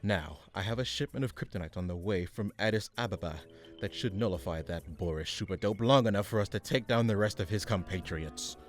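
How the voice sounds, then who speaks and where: Man playing low-voiced pompous supervillain boss. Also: brisk, close to an everyday voice. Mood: exasperated, scheming. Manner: haughty, sarcastic, impatient. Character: pompous, exasperated male supervillain chairing a meeting. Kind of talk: comedic radio play acting.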